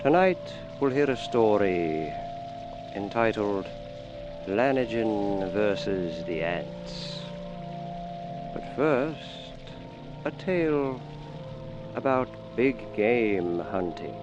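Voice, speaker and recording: A man playing a sinister doctor who hosts a radio mystery anthology, deliberate, ominous and theatrical. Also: fairly low pitch, expressive, lo-fi sound. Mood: suave, ominous. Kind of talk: scripted radio mystery introduction. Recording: radio show with music and rain bed.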